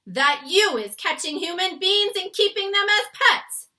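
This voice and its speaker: Woman putting on a huge booming bully giant. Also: expressive, measured pace, sharp tone, full character voice. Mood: accusing, bullying. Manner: mangled folksy grammar, taunting. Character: huge menacing bullying male man-eating giant. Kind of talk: storybook read-aloud character voice.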